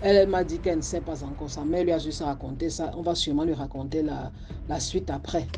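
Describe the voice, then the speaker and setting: A woman's voice: deep voice, fairly even intonation, quick pace, background noise. A woman hosting a story show, commenting on a listener's story and earnestly giving advice to the audience. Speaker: host.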